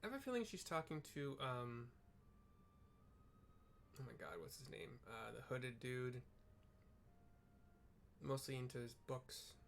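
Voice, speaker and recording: Really low voiced woman describing a game as she plays, offhand, some background noise. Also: animated, measured pace, pauses often. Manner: informal, offhand. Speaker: game streamer narrating scenes for viewers. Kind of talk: casual playthrough commentary with descriptions.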